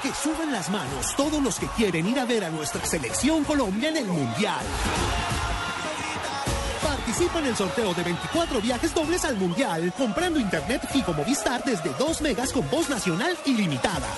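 A woman announces a radio commercial with an energetic sales delivery. Her pitch bounces widely. Music plays clearly underneath. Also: normal speed. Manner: bright, energetic sell. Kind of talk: scripted radio ad.

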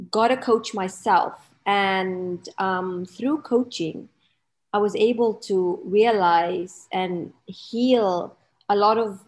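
A woman from Malawi, a mindset and relationship coach, telling her story reflectively with many hesitations and restarts. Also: medium pitch. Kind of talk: personal story in interview.

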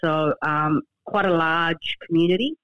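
An Australian woman in her fifties, a barrister being interviewed, speaking in a measured, halting way with many fillers and repeated words. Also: deep voice, no room sound, muffled recording. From Darwin, Northern Australia. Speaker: barrister and guest. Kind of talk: podcast interview. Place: remote, from her hometown.

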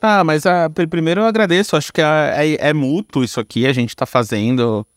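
A man's voice: fairly high pitch, a little fast, dry recording, clean studio sound, faint music behind. A man with a Brazilian accent talking casually and reflectively in an interview, slangy and informal, thinking aloud. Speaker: podcast guest, content creator and director.

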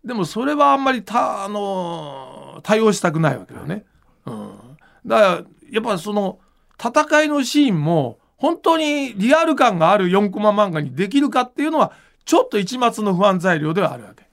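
A man's voice: high voice, very animated, moderate pace, vocal fry, fairly bright tone. A man hosting a podcast, chatting casually and explaining his thoughts in an informal, musing way. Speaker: podcast host and manga creator.

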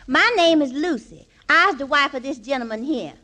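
An actress playing a poised hired pretend wife in a 1940s radio comedy. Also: female voice, high voice, expressive, a little fast, sharp tone. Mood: coy. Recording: vintage 1940s radio broadcast.

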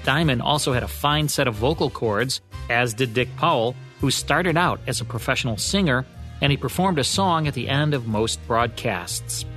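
A man's voice: medium pitch, lively intonation, moderate pace, fairly bright tone. A man hosting a radio program, reading scripted narration to introduce a classic show. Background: faint music.

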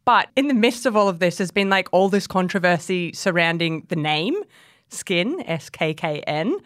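A woman's voice: fairly low pitch, animated, normal speed, dry recording, studio quality. A woman co-hosting a casual beauty podcast, chatty and laughing often. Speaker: podcast co-host. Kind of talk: casual chat.

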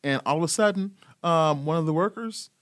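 A middle-aged man, a guest in an interview, talking about his son in a relaxed, rambling way with lots of fillers. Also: on the high side, fairly quick, dry recording, clean studio sound. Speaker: guest, parent. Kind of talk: casual interview conversation.

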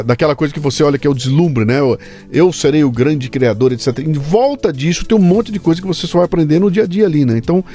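A man's voice: medium pitch, dark tone. A Brazilian man hosting a podcast interview, talking informally and emphatically with lots of slang. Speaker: podcast host. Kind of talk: casual interview chat.

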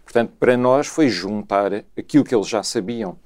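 A man with a European Portuguese accent, a scientist explaining his research in a measured way with frequent repetitions. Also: lowish voice, a little mellow. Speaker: scientist guest.

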